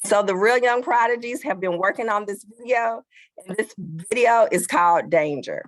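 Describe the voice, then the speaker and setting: A woman's voice: medium pitch, moderate pace, dry recording. A woman teacher speaking at a community forum, enthusiastically praising her students' work and repeating words for emphasis.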